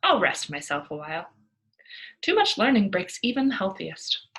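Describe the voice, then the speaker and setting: A woman voicing a cheeky, cocksure young girl from a children's storybook, loud, emphatic and argumentative. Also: mid-range voice, very animated, normal speed. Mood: breezy, self-assured. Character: cheeky, boisterous young girl, cocksure tall-tale teller. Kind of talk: children's storybook read-aloud.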